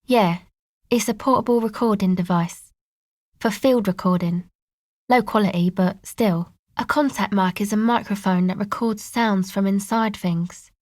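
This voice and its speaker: A woman's voice: mid-range voice, a little mellow, no echo, natural voice. An actress playing a guarded, laid-back young woman who leads a streetwise crew in a video game, speaking casually with dry understatement. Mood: casual, curious. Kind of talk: video game dialogue acting.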